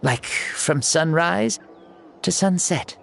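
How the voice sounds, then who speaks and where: An actor playing a jovial, boastful ancient warrior spirit in a youth's body for a video game, with theatrical delivery. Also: male voice, fairly high pitch, takes it slowly, vocal fry, fairly bright tone, a little hiss or hum, full character voice. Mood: breezy, chatty.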